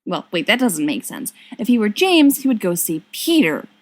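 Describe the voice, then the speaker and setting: A woman reading a story aloud as an expressive narrator. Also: mid-range voice, normal speed. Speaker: volunteer audiobook reader. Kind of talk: expressive scripted fiction narration.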